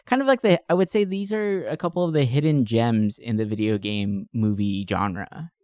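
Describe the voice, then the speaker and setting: A man's voice: medium pitch, animated, normal speed, darkish tone, dry recording, studio quality. A man co-hosting a podcast, chatting informally and enthusiastically in a casual, slangy review style. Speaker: podcast co-host. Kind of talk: casual movie review chat.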